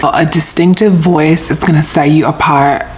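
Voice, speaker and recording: A woman in her twenties from New York giving blunt, slangy advice in a deliberately lowered voice. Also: fairly even intonation, a little mellow, a little hiss or hum, low-quality recording. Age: 20s. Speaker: self-help talker. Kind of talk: casual advice monologue.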